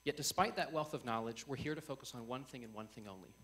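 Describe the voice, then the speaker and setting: A young man speaking as host of a student debate, in a measured, emphatic public-speaking delivery before an audience. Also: medium pitch, a bit bright. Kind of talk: formal speech introducing a debate. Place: on stage before a live audience. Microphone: event microphone.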